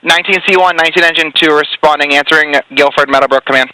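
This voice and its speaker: A man dispatches fire crews over a faintly noisy radio channel. He talks very quickly and crisply in procedural phrases, with a bright, slightly raised voice. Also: on the high side, steady tone. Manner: clipped, procedural. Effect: two-way radio. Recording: compressed radio dispatch audio with hiss.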